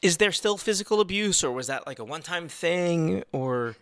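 A Filipino man hosting a call-in podcast, his voice bright and gravelly with a slight cough. He swings between excited bursts and hushed asides, and the sound is close and dry. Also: fairly high pitch, normal speed, no echo. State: has a cough. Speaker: podcast host. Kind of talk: casual call-in chat.

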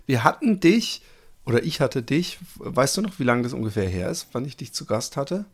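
A man's voice: medium pitch, sing-song, normal speed, breathy edge. A man hosting a podcast, asking long, winding questions with many restarts and fillers. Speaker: podcast host. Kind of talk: casual podcast interview.

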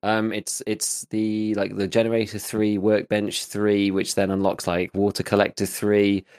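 A man co-hosting a gaming podcast in casual chat, with a slight gravel in his voice, rambling with lots of fillers. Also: on the low side, monotone, measured pace, a little mellow. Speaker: podcast co-host, gaming YouTuber.

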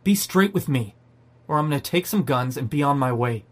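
A man's voice: fairly high pitch, expressive, moderate pace. A man playing a sarcastic, defiant, foul-mouthed zombie apocalypse survivor in an audio drama. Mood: angry, defiant. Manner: sardonic, profane. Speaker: narrator and lead character. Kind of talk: first-person audio drama narration and dialogue.

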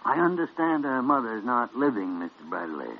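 An actor playing a gruff, sardonic older senior doctor in 1940s radio drama style. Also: male voice, medium pitch, big pitch swings, moderate pace. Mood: brusque. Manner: blustering, sardonic. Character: gruff, needling old senior doctor, bossy but shrewd. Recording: muffled old radio recording.

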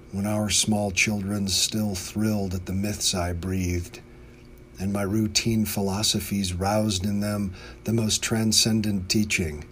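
An American man, a poet, reading in a measured, literary way. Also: low-pitched, fairly bright tone. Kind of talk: poetry reading. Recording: faint background noise.

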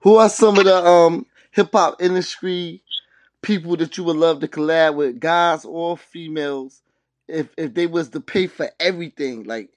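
Slangy man hosting a hip-hop interview, higher-pitched. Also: moderate pace, no room sound. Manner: slangy, repeats words and phrases. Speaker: podcast host interviewer.

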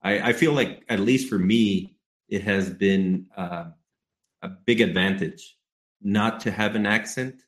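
Deep-voiced man with an American accent. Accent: American English. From Ecuador. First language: Spanish. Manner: measured, businesslike explaining with frequent ums. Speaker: guest, travel company executive. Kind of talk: podcast interview. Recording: roomy echo.

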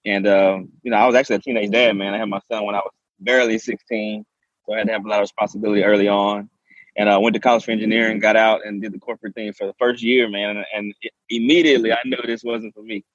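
Man in his 30s, rapid, low and flat, dry muffled audio. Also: dry recording. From Southeast Texas. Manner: fast, eager storytelling. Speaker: guest, real estate investor. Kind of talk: casual interview talk.

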